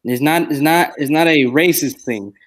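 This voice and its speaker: A man's voice: on the high side, normal speed. A man whose first language is Spanish, joking and cutting in during a casual podcast chat. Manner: joking, talks over others.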